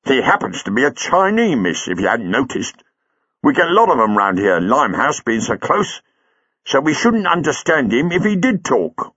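A man's voice: medium pitch, big pitch swings, normal speed, a bit bright, breathy edge, no echo, lo-fi sound, pitched above the performer's own voice. A man voicing a patient, stolid Victorian police sergeant. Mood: dogged, patient.

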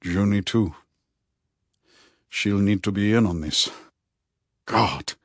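A man voicing a thoughtful, probing male therapist and devoted friend in an audiobook, speaking in a measured, earnest, deliberate way. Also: lowish voice, lively intonation, dry recording, studio quality. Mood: hushed, grave. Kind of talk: audiobook character dialogue.

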